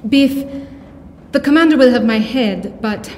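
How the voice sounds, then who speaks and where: A woman's voice: on the high side, unhurried, a little mellow, natural voice. An actress playing a composed, caring woman professor in a sci-fi audio drama. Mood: grave concern. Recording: noisy recording with hissing ambience.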